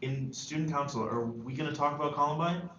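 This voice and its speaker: A man acting an idealistic, well-meaning high school senior in a screenplay table read, tentative and earnest. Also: fairly quick, lightly acted. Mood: hesitant, hopeful. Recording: echoey room, dull lo-fi, faint noise.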